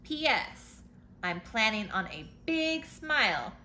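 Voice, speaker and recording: Lady reading as a cheerful little storybook girl, bright and earnest, bit of noise behind. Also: fairly high pitch, very animated, slow, full character voice. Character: eager, cheerful young girl writing letters home. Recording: noticeable background noise.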